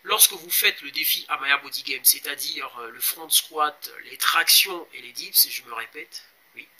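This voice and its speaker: Bright-voiced man in his forties, halting. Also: on the high side, poor audio. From Congo. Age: 40s. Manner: hesitant, many pauses and fillers, urging viewers. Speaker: fitness vlogger. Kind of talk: casual explanatory vlog.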